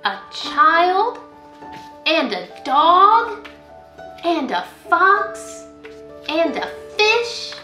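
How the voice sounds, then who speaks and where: A young woman, a school librarian, reading a picture book aloud to children in an expressive, sing-song way. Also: very high-pitched, very slow, pauses often, reverberant, soft background music. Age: young adult. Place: indoor room at home, by a window.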